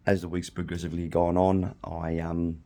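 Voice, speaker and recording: A middle-aged Australian man hosting a podcast alone, slightly hoarse from losing his voice, rambling casually with frequent ums and restarts. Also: deep voice, monotone, moderate pace, mellow. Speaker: podcast host. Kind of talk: casual solo chat.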